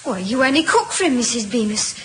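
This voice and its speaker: A woman's voice: a little high, big pitch swings, fast, a bit bright. An actress playing a simple, devoted young servant girl in an old-time radio drama, earnest and artless. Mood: admiring. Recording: hissy vintage radio recording.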